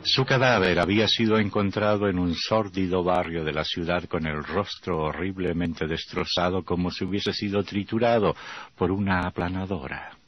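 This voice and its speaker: A man's voice: low-pitched, steady tone, normal speed, no room sound. An actor playing a grim, sinister male horror storyteller, narrating a radio drama with macabre relish. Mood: grim, dramatic. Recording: muffled old radio recording with music.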